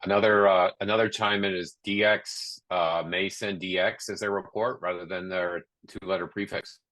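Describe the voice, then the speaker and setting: A man's voice: low-pitched, steady tone, normal speed, fairly bright tone, low-quality recording. A man on a webinar panel making brief, informal clarifications.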